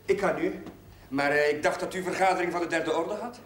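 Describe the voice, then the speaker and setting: A man playing an earnest young chaplain, respectful and a little poetic, in a fairly high voice. The television drama sound is echoey, with the microphone at some distance. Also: steady tone, fairly quick, a little hiss or hum, natural voice. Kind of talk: naturalistic television drama dialogue.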